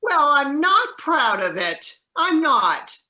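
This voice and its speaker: A woman plays a naive young seagull in a stage comedy, with a bright, highish voice. The fledgling is earnest and nervous, and its pitch leaps around as it talks. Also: slow, full character voice. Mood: panicked. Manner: earnest, wide-eyed, excitable. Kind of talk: amateur virtual one-act play acting.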